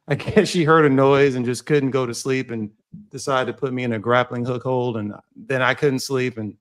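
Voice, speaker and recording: A man co-hosting a podcast, sounding tired, talking in a relaxed, friendly way with fillers. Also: medium pitch, moderate pace. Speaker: podcast co-host.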